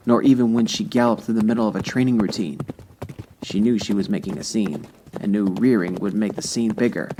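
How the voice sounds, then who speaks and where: A man narrating a dramatic scripted story in a deliberate, solemn manner. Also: fairly low pitch, background noise. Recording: music behind the voice. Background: instrumental music.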